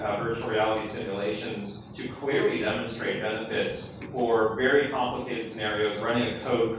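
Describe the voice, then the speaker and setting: A man giving closing remarks at a conference, emphatic and enthusiastic, often repeating phrases. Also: mid-range voice. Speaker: conference co-presenter. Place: large conference hall. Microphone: handheld mic that keeps cutting out. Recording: echoey hall, distant from mic, background noise.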